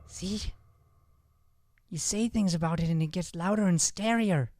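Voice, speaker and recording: A man's voice: high-pitched, measured pace, darkish tone, no echo. A man playing a shy, solitary young boy in an improvised radio play, naive and tentative, with an Irish accent. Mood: spooked. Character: Cedric, shy solitary boy who likes humming. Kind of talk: improvised old-time radio play acting.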